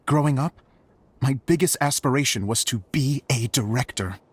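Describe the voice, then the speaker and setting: An actor playing an eager, theatrical, self-styled stage director in a video game, effusive and chatty. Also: male voice, mid-range voice, normal speed, slight background noise, close to an everyday voice. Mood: chatty, earnest. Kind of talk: video game cutscene acting.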